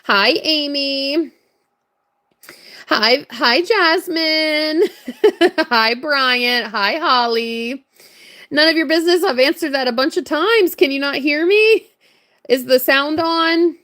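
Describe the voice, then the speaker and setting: A woman with a hoarse, worn voice, chatting casually and greeting viewers while crafting on a livestream. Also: sits high, slow pace, pauses often, fairly bright tone. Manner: chatty, upbeat, laughs often. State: hoarse, voice strained from hours of talking. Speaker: livestream crafter and shop owner.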